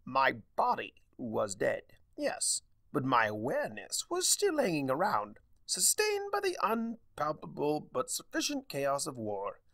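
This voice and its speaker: A man voicing a theatrical, sardonic, mischievous male chaos spirit with a wry, drawn-out delivery in a fan fiction reading. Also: fairly high pitch, huge pitch swings, creaky, a bit bright, no room sound, studio quality, pitched above the performer's own voice, exaggerated voice. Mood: aggrieved, theatrical.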